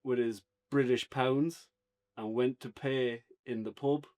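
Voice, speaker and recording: An Irish man chatting casually as a podcast co-host, unscripted, halting at times as he searches for words. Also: medium pitch, steady tone, darkish tone. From Ireland. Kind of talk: casual unscripted review chat.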